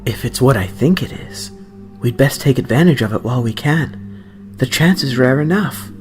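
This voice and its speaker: A man's voice: medium pitch, lively intonation, normal speed, soft background music, close to an everyday voice. A man voicing an eager, commanding older grandmother in a dramatic storybook reading, amused and full of spirit. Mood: brisk, gleeful.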